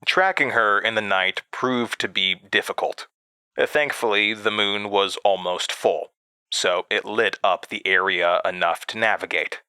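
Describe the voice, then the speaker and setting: A man's voice: lowish voice, unhurried, pushed and bright, clean tone, no echo. An actor playing a haunted, anxious man who narrates his past hesitantly in a horror audio drama. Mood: tense dread.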